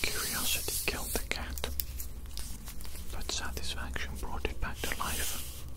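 An evil mad doctor acted out by a man whispers in an ASMR roleplay. He is mock-polite and softly menacing, repeating his phrases as he rambles. The voice is unvoiced and intimate, close to the microphone. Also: measured pace. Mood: soothing, creepy.

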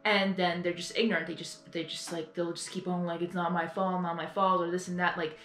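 A young adult woman giving casual reaction commentary in an earnest, halting, repetitive way. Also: fairly low pitch, little pitch movement, a bit bright, faint music behind. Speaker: reaction video host. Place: small bedroom, indoors. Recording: roomy bedroom echo.

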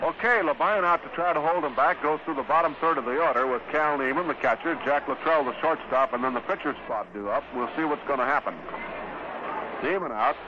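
An American man calling baseball play-by-play in 1950s radio style, in terse, unhurried phrases between pitches. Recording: noisy, dull 1950s radio broadcast.

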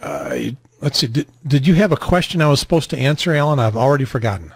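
An American man in his sixties hosting a radio net in casual chat, rambling and halting, on a close mic in a small home room. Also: a little high, expressive, gravelly, slightly rough, dry recording. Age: 60s. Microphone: close boom studio mic, headset on.

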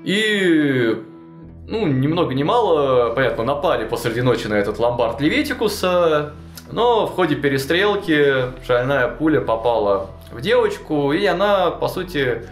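A man's voice: fairly high pitch, normal speed. A young man in a suit jacket casually telling a long story, full of fillers, seated in a studio. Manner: animated, many fillers like "вот" and "ну". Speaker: storyteller guest. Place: studio with chalkboard wall. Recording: roomy studio with faint music.

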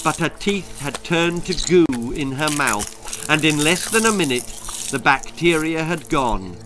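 Slow, dramatic older storyteller. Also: male voice, fairly high pitch, animated, noisy background. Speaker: author reading his own stories. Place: small book-lined room at home.

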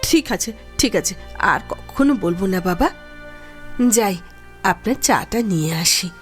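An actress playing a gentle, self-effacing daughter-in-law in a Bengali audio drama, speaking with deferential, soft reassurance. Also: female voice, mid-range voice, lively intonation, unhurried, faint music behind, lightly acted.

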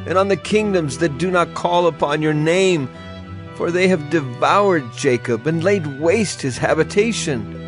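A man giving a solemn, declamatory dramatized reading of scripture as an audio Bible narrator. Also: mid-range voice. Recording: music under the voice. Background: music.

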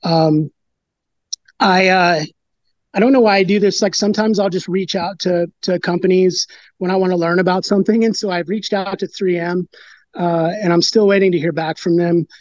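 Halting man on a dull video call. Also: high-pitched, steady tone, no echo. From United States. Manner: halting, many fillers and restarts. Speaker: brewer, interview guest. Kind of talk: casual interview talk.

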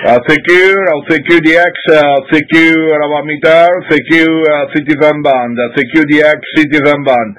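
CB operator from France, higher-pitched man, repetitive halting calls over a radio link. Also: steady tone, a bit bright. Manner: repetitive call signs, hesitant fillers. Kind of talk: radio calling procedure.

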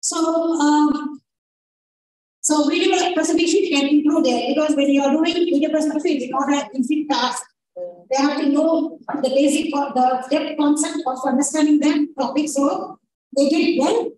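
A woman's voice: sits high, fairly even intonation, normal speed, darkish tone, a little hiss or hum. A woman lecturer presenting a conference paper in English, her second language, hesitant with many fillers and restarts. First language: not English. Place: large conference ballroom. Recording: echoey ballroom from far off.

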